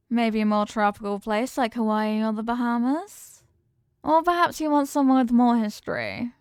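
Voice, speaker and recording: A woman's voice: a little high, smooth, no room sound, studio quality. A woman playing a confident mafia boss girlfriend in an intimate romantic audio roleplay, talking tough but tenderly with affectionate slang. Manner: affectionate tough talk with slangy pet names.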